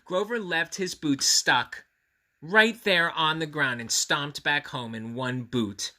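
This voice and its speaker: A man reading a storybook aloud to children, expressive and earnest, often speaking directly to his young listeners. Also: on the high side, slow pace.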